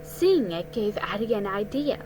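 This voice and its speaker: A woman giving a dramatic reading of a children's story, careful and a little halting. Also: medium pitch, very animated, noisy background. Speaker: storybook reader.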